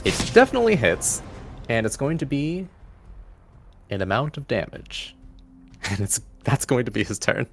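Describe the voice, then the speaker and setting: A man's voice: medium pitch, big pitch swings, normal speed, gravelly, pauses often. A man working as game master, narrating a tabletop game in a dramatic storytelling style. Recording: faint music behind the voice. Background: faint music.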